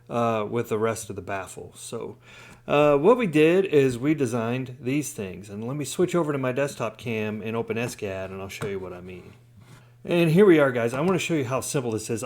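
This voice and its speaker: A man's voice: mid-range voice, darkish tone. A man hosting a hands-on DIY tutorial, talking informally and explaining things step by step. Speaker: DIY hobbyist video host. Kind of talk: casual hands-on tutorial talk.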